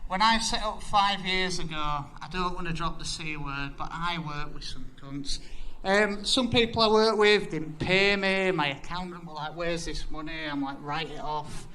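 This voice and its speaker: A man's voice: fairly high pitch, normal speed, hardly any pauses, sharp tone, slight background noise. A young man with a Yorkshire accent giving a casual, sweary, self-deprecating talk about his design work at a community event. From Yorkshire, England. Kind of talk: casual conference presentation.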